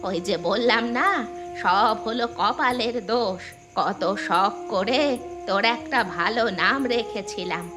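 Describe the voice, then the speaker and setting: A woman's voice: mid-range voice, unhurried, music in the background, lightly acted. A woman playing a poor, humble, long-suffering village mother in a cartoon, plaintive and loving. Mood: sorrowful. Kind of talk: Bengali fairy tale cartoon dubbing.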